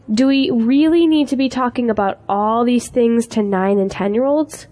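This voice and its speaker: A woman's voice: a little high, darkish tone, lightly acted. A woman playing an eager, idealistic young schoolteacher in an audio drama, with an earnest, polite way of talking.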